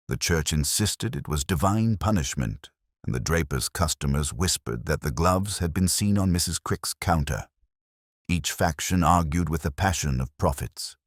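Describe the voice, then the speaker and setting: A man reading scripted dramatic narration of a mystery story in a measured, literary manner. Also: extremely low pitch, breathy edge, no echo, studio quality.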